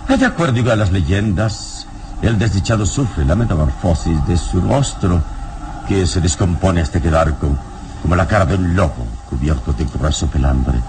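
A man acting a calm, wise, commanding adventurer hero in an old radio serial, speaking deliberately and dramatically. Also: deep voice, background noise. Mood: grave, explanatory.

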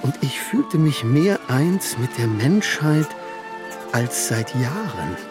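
An actor playing a cynical, eccentric middle-aged bachelor in a radio drama, speaking in a dry, formal, sardonic way. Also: male voice, mid-range voice, big pitch swings, takes it slowly. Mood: softening, amused. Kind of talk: radio drama, letter read in character. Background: music.

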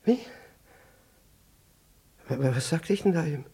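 Fahrigen, wichtigtuerischen Beamten mit rauer Stimme. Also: male voice, fairly high pitch, expressive, measured pace, vocal fry, mellow, breathy edge, heavily acted. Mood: confused, evasive. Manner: flustered, stammering, self-important, losing his thread. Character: pompous, scatterbrained senior ministry official, secretly jealous. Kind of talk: 1960s German radio play acting.

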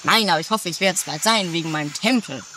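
A woman's voice: fairly low pitch, fast, bright, forceful tone. Woman as an earnest, devout companion. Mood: eager, proud. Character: earnest, devout, obliging temple-building companion. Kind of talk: amateur comedy radio play acting. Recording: faintly noisy amateur 1980s recording.

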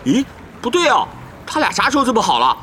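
An excitable young male pro gamer in an audio drama, gossipy and suspicious. His voice is very high and bright, rattling along over a noisy background. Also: exaggerated voice. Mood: puzzled, gossipy. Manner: rapid, gossipy chatter.

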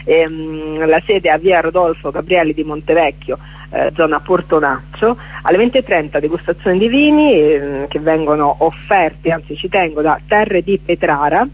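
A woman's voice: low-pitched, moderate pace, poor audio. A woman giving a chatty radio interview as a guest, speaking in broken, hesitant phrases and repeating words for emphasis. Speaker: radio guest, performer presenting a stage event.

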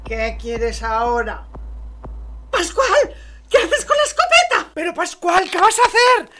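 A woman from Spain acting a harsh mother gripped by alarm in a radio play, shrill and dramatic. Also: sing-song, a little slow, pushed and bright, exaggerated voice. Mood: alarmed, terrified. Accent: Spanish, from Spain. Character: harsh mother of a grown son, turning terrified.

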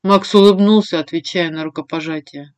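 A woman reading fiction as an audiobook narrator, with an expressive, measured delivery. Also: fairly low pitch, dry recording. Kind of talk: scripted fiction narration, first-person female storyteller.

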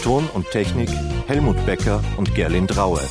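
A man's voice: fairly low pitch, lively intonation, normal speed. A man as announcer, reading scripted credits for a radio production. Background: music.

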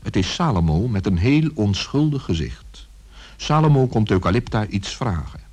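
A man's voice: on the low side, very animated, moderate pace, darkish tone, breathy edge. A man narrating a scripted children's story as the storyteller.

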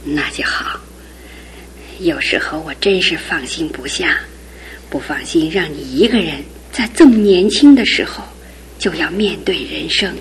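A woman playing a gentle, wise middle-aged aunt in a radio drama, calm and unhurried. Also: low-pitched, a little hiss or hum, rough recording. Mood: concerned. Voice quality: husky.

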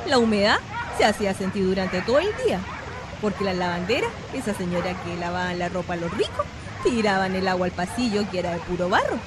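A woman's voice: mid-range voice, sing-song, quick pace, close to an everyday voice. An actress playing a resigned, plain-spoken poor mother with a Chilean accent and neighbourly, colloquial speech in a radio drama. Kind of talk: Spanish-language radio drama acting. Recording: noisy track with crowd, dogs, street ambience.

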